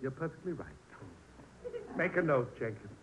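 An actor playing a flustered, grudging landlord, curt and put-upon, in 1940s radio comedy style. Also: male voice, on the high side, animated, normal speed, slight background noise, close to an everyday voice, low-quality recording.

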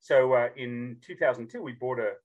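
A man in his fifties with an Australian accent is chatting as a guest. He tells stories casually in a mid-pitched voice. His manner is jokey and animated, and he slips into quoted dialogue as he goes. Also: normal speed, highs cut off. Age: 50s. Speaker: podcast guest. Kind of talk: casual storytelling.

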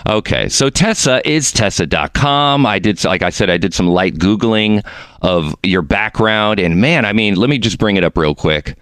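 This is a man hosting a podcast, with a deep, low voice. He keeps things chatty and jokey and drifts off on tangents. The sound is close and dry, recorded on a good studio mic. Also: lively intonation, moderate pace, fairly bright tone, clean tone, dry recording. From Mexico. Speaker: podcast host. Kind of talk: casual interview chat.

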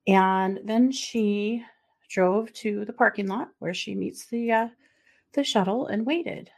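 A woman's voice: medium pitch, slow, vocal fry. Chatty middle-aged woman telling a case. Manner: chatty, laughing asides, frequent fillers. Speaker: podcast co-host. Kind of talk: casual chat and true crime case telling.

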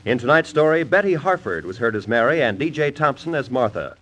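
A man's voice: mid-range voice, sing-song, fairly quick, fairly bright tone, poor audio. A man with an American accent reading scripted announcements in the style of 1950s network radio.

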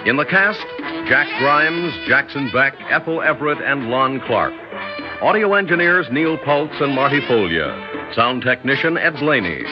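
A man announcing formal, measured scripted credits in a 1960s radio style. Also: mid-range voice, animated. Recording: dull old radio broadcast with music.

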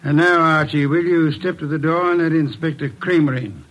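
An actor playing a shrewd, self-assured detective in 1940s radio style, speaking in a pompous, precise, deliberate way. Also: male voice, a little high, a bit bright, close to an everyday voice, low-quality recording. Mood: commanding, deductive. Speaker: lead detective. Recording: vintage radio drama recording.

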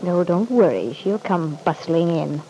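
Scornful, ruthless radio soap schemer. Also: female voice, fairly low pitch, lively intonation, measured pace, mellow, poor audio. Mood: cold, defiant. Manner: cutting, sarcastic, coolly self-assured. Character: ruthless, scornful, defiant young mother in a bitter feud. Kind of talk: vintage radio soap opera acting. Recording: old radio recording with faint hiss.

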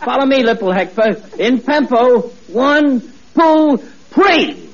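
A man's voice: extremely high pitch, expressive, slow, exaggerated voice. A man voicing a boastful little boy who swaps every T for a P, in a 1940s radio comedy. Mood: eager. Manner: lisping, swaps T sounds for P. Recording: muffled old radio broadcast, studio audience. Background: studio audience laughter.

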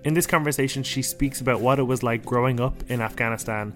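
A man's voice: mid-range voice, quick pace, soft background music. A man hosting a documentary interview series, reading a scripted intro and then asking friendly questions. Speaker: podcast host.

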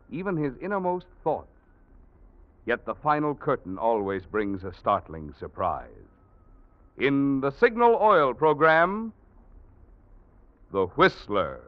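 A man's voice: on the high side, no room sound. A man announcing an old radio program in a dramatic, declamatory 1940s style. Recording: dull vintage radio recording.

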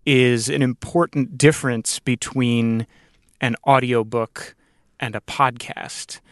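A man's voice: medium pitch, fairly even intonation, no room sound. Thoughtful man interviewer, very dry close sound. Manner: thoughtful, halting phrasing that builds ideas aloud. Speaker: podcast host and interviewer. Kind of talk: reflective interview conversation.

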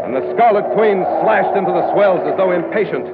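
Wry, seasoned sea skipper, American, classic radio adventure delivery, loud score behind an old narrow-band recording. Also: male voice, high-pitched, lively intonation, a little fast, loud music behind the voice. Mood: brisk, vivid. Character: seasoned, wry sea captain narrating his voyage. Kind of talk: 1940s radio adventure acting, scripted narration.

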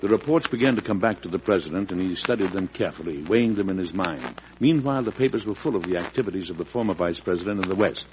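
An American man playing a grave, burdened, wry president in a 1940s radio drama, speaking in a measured, weighty, theatrical way. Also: low voice, close to an everyday voice. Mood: measured storytelling. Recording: muffled, noisy old radio recording.

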